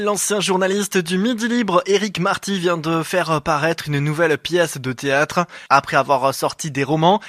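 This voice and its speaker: A man's voice: on the high side, a bit bright, dry recording, studio quality. A male radio host introducing a guest and asking questions, partly read from a script, partly with hesitant fillers. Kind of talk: radio interview. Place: radio studio.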